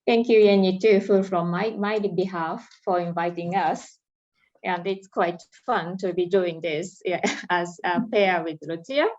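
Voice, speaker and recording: A woman's voice: fairly low pitch, unhurried, darkish tone. A woman business advisor moderating a panel, introducing her co-speaker and asking questions in a friendly, conversational way. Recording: dull lo-fi audio.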